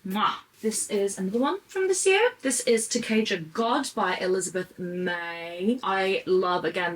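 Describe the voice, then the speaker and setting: A woman's voice: mid-range voice, poor audio. A woman chatting casually and enthusiastically as she shows off books, gushing in the manner of a book vlogger. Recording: clear background noise.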